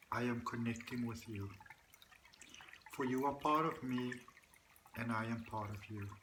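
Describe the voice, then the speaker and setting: A man leading a guided meditation, speaking to the listener in a deliberate, soothing way with repeated phrases. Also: mid-range voice, sharp tone, a little hiss or hum, poor audio. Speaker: guided meditation practitioner and host.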